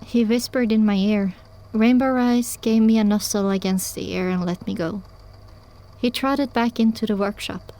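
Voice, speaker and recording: A woman playing a young pony mare who tells her own story in earnest, measured audiobook narration. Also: mid-range voice, steady tone, mellow, close to an everyday voice, rough recording. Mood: reflective, earnest. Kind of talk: scripted first-person audiobook narration.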